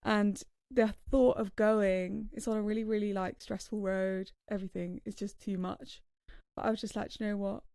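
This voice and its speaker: Female co-host, casual and unsure, dark gravelly tone, dry sound. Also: mid-range voice, steady tone, normal speed, no echo. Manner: hesitant, lots of fillers and restarts. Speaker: podcast co-host. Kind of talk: casual podcast chat.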